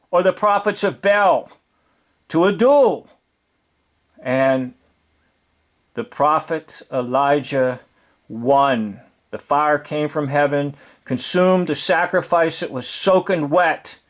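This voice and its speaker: Slow, high-pitched man preaching his commentary. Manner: preachy, emphatic, repeats words for emphasis. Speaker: video commentator. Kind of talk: informal explanatory commentary on a film.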